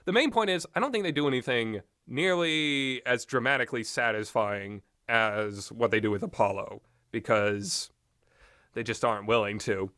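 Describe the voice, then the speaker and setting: A man's voice: medium pitch, moderate pace, sharp tone, no echo, clean studio sound. A man giving a rambling, unscripted and casual video game review, readily amused as he talks. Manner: rambling, often breaks into amused laughter.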